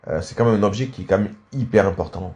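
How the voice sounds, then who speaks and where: A man's voice: deep voice, quick pace, darkish tone. A man hosting and casually commentating on video game matches, slightly stuffed up with a cold. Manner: frequent throat clearing and coughing.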